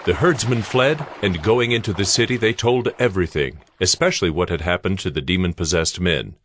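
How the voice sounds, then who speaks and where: A man's voice: deep voice, fairly quick, fairly bright tone. A man narrating scripture from a script in an audio drama.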